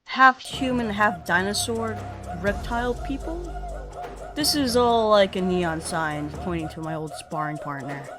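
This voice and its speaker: A woman playing a wisecracking, quick-witted superhero in a comic dub, with a quippy way of talking. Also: mid-range voice, lightly acted. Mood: wry, decisive. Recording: music behind the voice.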